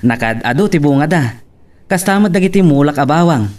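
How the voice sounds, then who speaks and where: A man's voice: a little high, animated, a little fast, a little mellow, close to an everyday voice. A man playing a hopeful, hardworking young farmer in love in an Ilocano audio drama. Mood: cheerful, proud. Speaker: actor in radio-style drama. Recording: slightly distant, noisy ambient recording.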